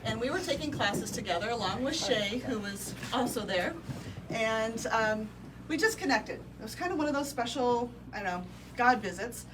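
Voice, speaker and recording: A woman's voice: a little high, expressive, moderate pace. A woman with a Midwestern accent giving a personal ribbon-cutting speech as a real estate business owner, telling her story with plenty of ums. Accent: Midwestern American. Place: indoor office event space with a crowd. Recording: distant mic, noisy event room.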